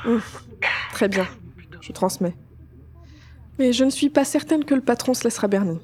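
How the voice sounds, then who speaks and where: A woman's voice: fairly high pitch, expressive, normal speed, mellow, natural voice. A woman acting a dry, teasing office colleague in an audio drama. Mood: reproachful. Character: dry, flirtatious female office colleague on the phone.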